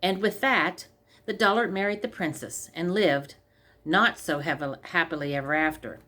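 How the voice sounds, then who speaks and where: A woman's voice: on the low side, normal speed, pushed and bright. A woman reading a scripted comic fairy tale aloud as a storyteller. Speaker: story reader.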